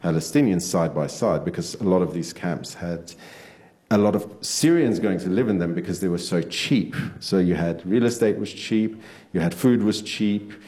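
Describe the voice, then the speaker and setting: A man speaking as a guest on a panel, halting and full of fillers and restarts. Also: deep voice, expressive, dark tone. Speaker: author guest. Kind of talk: panel discussion. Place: on stage before an audience.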